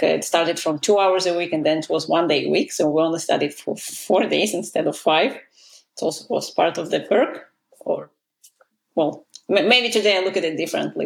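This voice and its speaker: A woman's voice: on the low side, vocal fry, poor audio. A woman, a guest in an interview, talking casually with many fillers and restarts. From Israel. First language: Russian.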